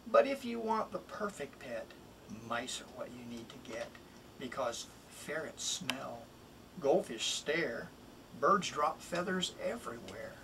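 Middle-aged man, children's picture book reading, unhurried emphatic delivery, slight room noise, recorded from a distance. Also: mid-range voice, sing-song, gravelly. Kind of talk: casual introduction and expressive picture book read-aloud. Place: small wood-panelled home office, indoors.

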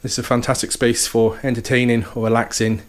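A man's voice: medium pitch, flat delivery, quick pace. A man, an estate agent, giving an upbeat walkthrough tour of a house, full of sales patter and repeated "okay" and "fantastic".

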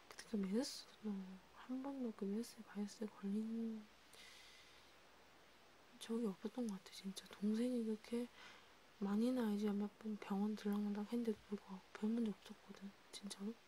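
Softly whispering female vampire counselor, acted by a woman. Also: mid-range voice, a little hiss or hum, lightly acted, low-quality recording. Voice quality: breathy, mostly whispered. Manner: intimate, halting, repetitive casual speech. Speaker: ASMR creator. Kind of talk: whispered ASMR counseling role play.

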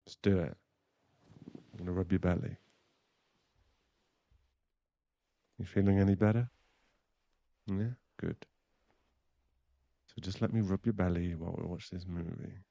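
Guy acting a teasing, flustered young bad-boy boyfriend in an asmr roleplay, dark mellow voice, up close and kinda muffled. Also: low-pitched, fairly even intonation, moderate pace, vocal fry, pauses often, dry recording. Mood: gruff, caring. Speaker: voice actor in an audio roleplay.

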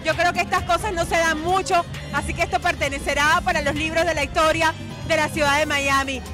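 A woman's voice: sits high, steady tone, brisk. A woman giving gracious celebratory remarks as a guest at an outdoor event, with music behind her. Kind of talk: short celebratory interview remarks. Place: outdoors at a night park festival. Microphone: handheld microphone.

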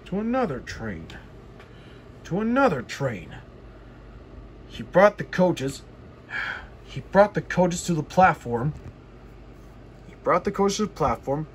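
A man reading a children's story aloud, haltingly, stumbling over words and repeating phrases. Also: fairly high pitch, big pitch swings, a little hiss or hum. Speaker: storybook reader.

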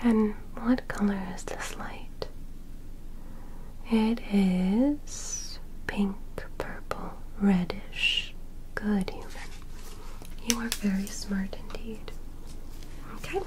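A breathy, whispered female alien examiner, acted by a woman for an ASMR medical roleplay. She speaks extremely slowly and deliberately, in short, simple instructions. Her manner is gentle and curious. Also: medium pitch, fairly even intonation, poor audio. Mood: soothing, clinical. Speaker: ASMR performer playing an examiner.

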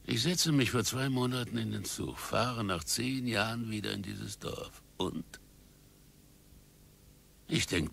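A man's voice: fairly low pitch, creaky, a bit bright, a little hiss or hum, heavily acted. An older man playing a chatty, wistful old pensioner telling a story colloquially in a radio play.

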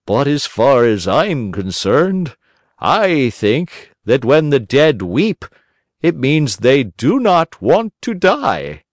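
A man's voice: medium pitch, very animated, takes it slowly, no echo, studio quality, pitched above the performer's own voice. A man voicing a fussy, pedantic owl doctor.

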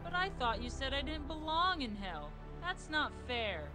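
Woman as animated, sarcastic, grumbling young creature. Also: high voice, moderate pace, over music. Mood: sarcastic, puzzled. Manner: sardonic, irritable, blunt. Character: sarcastic, grumbling young female cartoon creature, newly dead. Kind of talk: comic fantasy radio play acting.

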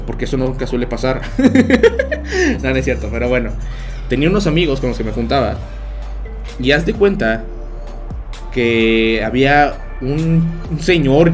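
A young man with a Mexican accent, livestreaming a game and chatting with viewers, joking and laughing often as he tells stories. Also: medium pitch. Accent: Mexican Spanish. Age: young adult. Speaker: streamer. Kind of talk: live game stream chat. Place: small bedroom.

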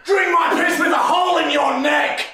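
A man's voice: very high voice, steady tone, moderate pace, crowd noise. A man playing an unhinged, abusive, demanding partner in a prank call comedy, screaming crude orders. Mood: enraged, demanding. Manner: screaming, foul-mouthed, unhinged. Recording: shouting from off mic, echoey, noisy.

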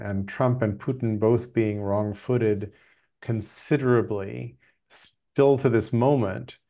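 A man hosting a group video call, talking casually in a measured, reflective way. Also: lowish voice, creaky, a little mellow. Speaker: call host. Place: video call from a room indoors. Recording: slightly dull video-call audio.

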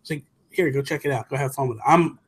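A man's voice: medium pitch, racing, breathy edge. An American man in his thirties co-hosting a casual gaming chat, speaking emphatically and repeating phrases for stress. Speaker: podcast co-host. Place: small home room, indoors. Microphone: desk microphone close up, headset.

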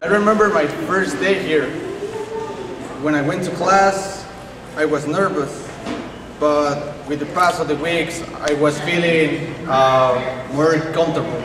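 A man's voice: a little high, a little slow, recorded from a distance, background noise. A young man, a learner, gives a prepared speech earnestly and carefully, with some restarts. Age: young adult. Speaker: English language student giving a speech. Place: small classroom indoors, at night. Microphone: room microphone at a distance. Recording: echoey room, picked up from afar.

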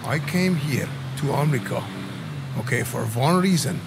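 A man's voice: mid-range voice, expressive, normal speed, gravelly, noisy background. A man voicing a pompous, misogynistic Persian immigrant with a Persian accent, broken English and a bossy manner in a live game roleplay. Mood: indignant. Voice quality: broken English, stiff formal phrasing. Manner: bossy, indignant.

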